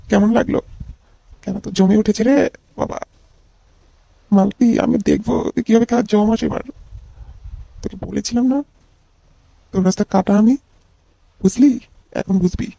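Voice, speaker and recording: A man voicing a bitter young jilted ex-boyfriend with a high, husky voice and a needling manner in an amateur comedy cartoon. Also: dark tone, full character voice. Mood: gloating. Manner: taunting, needling.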